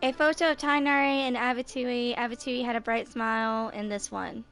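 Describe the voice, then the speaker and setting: Woman in her twenties, casual gaming commentary, bright higher voice, chatty, game music behind. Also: fairly even intonation, normal speed. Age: 20s. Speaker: gaming video host. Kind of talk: casual game playthrough commentary, reading text aloud.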